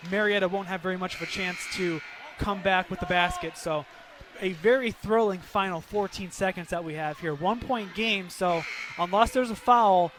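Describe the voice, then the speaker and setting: A man's voice: sits high, fairly bright tone, slight background noise. A man working as a color commentator on live radio, talking through game strategy in a conversational way. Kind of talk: live radio sports analysis.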